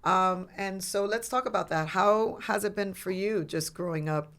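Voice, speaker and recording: A woman hosting an interview, asking questions casually and backing up her guest with steady affirmations. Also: medium pitch, normal speed. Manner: supportive, frequent affirmations. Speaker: podcast host.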